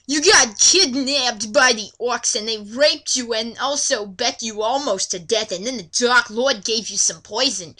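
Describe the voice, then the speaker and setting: A woman's voice: fairly high pitch, measured pace, hardly any pauses, sharp tone. A woman voicing an earnest male elf archer in overwrought, exclamatory fashion for a fan fiction reading.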